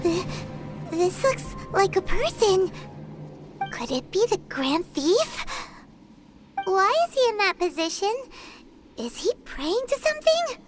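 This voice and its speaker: A little childlike game companion with an extremely high, squeaky voice. She is excitable and timid, exclaiming at everything with a lively melody, yet takes her words at an unhurried pace. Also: over music, heavily acted. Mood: puzzled, uneasy. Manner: refers to self in third person, exclamatory. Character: tiny excitable childlike floating girl companion, easily frightened. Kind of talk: video game character acting.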